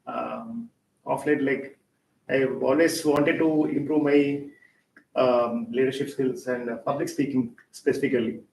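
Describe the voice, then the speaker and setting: A man introducing himself as a first-time guest at a speaking club, nervous and filling pauses with uh and um. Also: fairly even intonation, gravelly, a little mellow. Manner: nervous, filler-heavy. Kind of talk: self-introduction at club meeting. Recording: echoey hall, distant mic, faint rumble.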